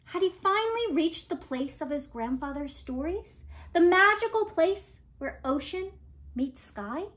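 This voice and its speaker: A woman in her thirties reading a picture book aloud to children in an expressive, deliberate storytelling style. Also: very high-pitched. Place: living room at home, indoors.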